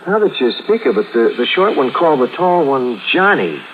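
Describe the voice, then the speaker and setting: An actor playing a chatty, hesitant elevator operator, eager to help, in a 1950s radio drama. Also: male voice, medium pitch, sing-song, normal speed, natural voice. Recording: noisy, phone-like old radio recording.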